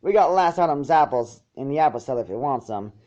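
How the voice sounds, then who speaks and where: A man's voice: medium pitch, animated, fast talker, dark tone, highs cut off, lightly acted. A man voicing a cheerful, friendly female farm pony in a story.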